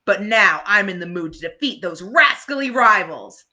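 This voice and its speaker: A woman's voice: medium pitch, lively intonation, normal speed, pushed and bright. A woman voicing a tough, bossy, indignant young girl from a storybook, with a blunt, commanding way of talking. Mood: determined. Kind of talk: storybook character voice.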